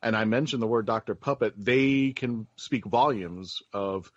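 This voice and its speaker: A man's voice: lowish voice, a little slow, no room sound. Male host, dry muffled audio. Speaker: web show host. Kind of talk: casual interview chat.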